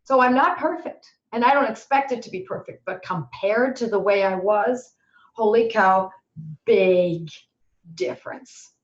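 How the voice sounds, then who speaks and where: A middle-aged woman teaching homeopathy, answering questions in a chatty, animated way and acting out people's worries, her voice a little hoarse at times. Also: mid-range voice, unhurried. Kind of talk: webinar Q&A answers. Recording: echoey, dull lo-fi webinar audio.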